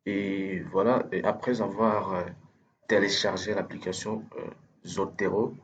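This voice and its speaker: Hesitant French-speaking man, low voice. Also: measured pace, vocal fry, fairly bright tone, muffled recording, a little hiss or hum. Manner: hesitant, many fillers and restarts. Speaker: instructor. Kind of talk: screen-recorded software tutorial.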